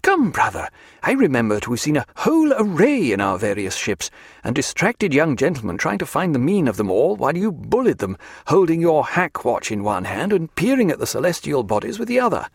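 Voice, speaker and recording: A man performs a learned naval doctor for an audiobook. He speaks formally in ornate, old-fashioned phrases with a wry, unworldly air. The intonation is very lively and the recording dry. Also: a little high, fairly quick, gravelly, husky, dry recording, voice pushed up, exaggerated voice. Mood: wry, puzzled.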